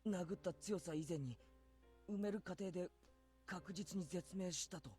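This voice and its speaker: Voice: mid-range voice, a little fast, mellow, faint music behind, natural voice. An actor playing an anxious, insistent teenage schoolboy in a Japanese visual novel. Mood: tense.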